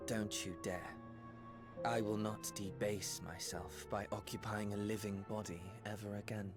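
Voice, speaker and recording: An actor playing a proud, brooding man in a video game, speaking gravely in formal, archaic language. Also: on the low side, flat delivery, unhurried, natural voice. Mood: indignant, proud. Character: proud, brooding man turned small, bodiless being. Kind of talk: video game cutscene acting. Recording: game music behind voice. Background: music.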